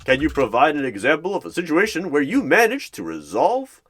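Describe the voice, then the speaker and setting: A man's voice: a little high, huge pitch swings, fairly quick, a bit bright, pitched above the performer's own voice, exaggerated voice. A man playing an earnest, awkward recruiter who asks formal, emphatic interview questions in an ASMR roleplay.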